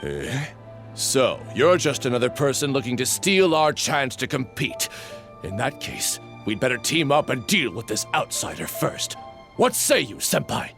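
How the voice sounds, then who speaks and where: A man's voice: mid-range voice, sing-song, a little slow, creaky, fairly bright tone, soft background music, full character voice. A man playing an eager junior sword student, theatrical and deferential, in dramatic anime-style video game acting. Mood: suspicious.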